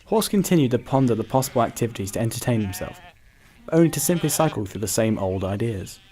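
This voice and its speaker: A man's voice: mid-range voice, very animated, quick pace, mellow. A man reading scripted narration for an animal story.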